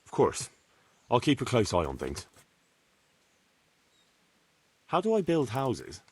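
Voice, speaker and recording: Video game role: eager, courteous young bailiff, conversational, inquisitive, with very varied intonation. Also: male voice, mid-range voice, huge pitch swings, moderate pace, husky. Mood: agreeable, curious. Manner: polite, questioning, conversational. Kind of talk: video game cutscene dialogue.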